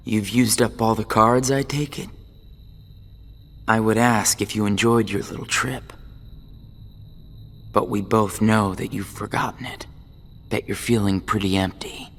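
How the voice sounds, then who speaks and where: A man voicing a cold, taunting young male rival in a game cutscene, who bursts into furious shouting. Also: fairly low pitch, steady tone, measured pace, long pauses. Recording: game audio with long reverb under loud music.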